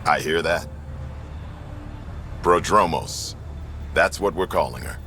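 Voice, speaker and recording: An actor playing a gruff, plain-spoken frontier outpost chief in a video game, talking in clipped phrases. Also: male voice, very deep voice, slow, sharp tone, slight background noise, heavily acted. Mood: determined. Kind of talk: video game dramatic dialogue.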